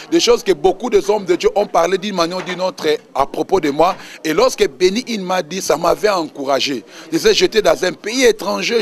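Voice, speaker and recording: Man, Congolese accent, fairly high voice, church minister, emphatic testimony with repeated phrases. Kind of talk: personal testimony in a religious interview.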